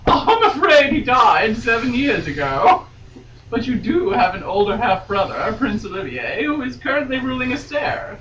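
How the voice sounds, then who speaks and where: A woman's voice: on the high side, very animated, moderate pace, fairly bright tone. A woman voicing a formal, compassionate female lawyer who makes stagey royal proclamations. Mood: formal, measured. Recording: roomy, noisy, distant home microphone.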